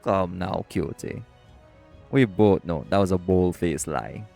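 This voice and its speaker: A man's voice: low-pitched, takes it slowly, vocal fry, dark tone, soft background music, full character voice. A man playing a teasing, easygoing shapeshifter boyfriend with a Caribbean accent in an audio roleplay. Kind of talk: scripted audio roleplay acting.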